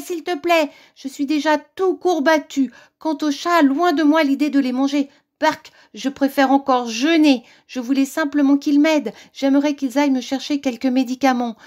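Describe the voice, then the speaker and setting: Woman playing a whining, sly old wolf, pitched up. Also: natural voice. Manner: groaning, wheedling, theatrical. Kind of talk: children's storybook character voice.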